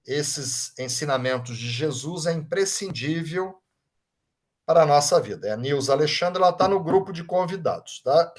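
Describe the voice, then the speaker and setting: A man's voice: on the high side, lively intonation, moderate pace. A man with a Brazilian Portuguese accent, a Reiki master leading an online study group, reading out chat comments and thanking people by name in an informal way. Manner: warm, informal, often adds tag questions like "right?".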